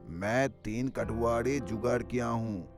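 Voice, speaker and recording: A man playing a troubled village headman with a measured, authoritative manner in an animated story. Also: mid-range voice. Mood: businesslike. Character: troubled, deliberate village headman taking charge. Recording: loud music behind the voice.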